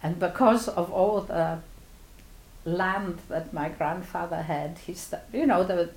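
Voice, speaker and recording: Slow-spoken older woman from Poland. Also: on the low side, a little mellow, reverberant, poor audio. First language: Polish. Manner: reflective, with frequent "you know" and "uh". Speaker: interviewee recounting her life. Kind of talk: oral history interview.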